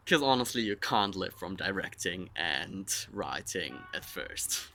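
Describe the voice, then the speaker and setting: A young man in his twenties with a German accent chats candidly through a follower Q&A, joking at his own expense. His voice sits fairly low yet sounds bright and forceful. A faint hiss runs under the recording. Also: big pitch swings, takes it slowly. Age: 20s. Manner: frank, self-mocking, rambling with fillers. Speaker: vlogger answering follower questions. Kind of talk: casual Q&A monologue.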